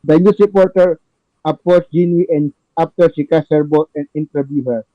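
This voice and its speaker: A man narrating stage directions in an amateur table read of a play, halting and repeating words as he goes. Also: a little high, fairly even intonation, no echo, muffled recording. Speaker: podcast host and playwright reading own play.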